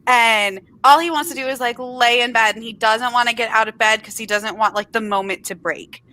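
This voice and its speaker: Bright, expressive woman in a book chat. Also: a little high, a little fast, low-quality recording. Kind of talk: casual book-club chat.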